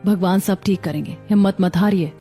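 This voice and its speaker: A woman playing a kind, hopeful young daughter-in-law who talks gently and reassuringly in a cartoon story. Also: medium pitch, brisk, faint music behind, natural voice. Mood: worried. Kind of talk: Hindi cartoon story acting.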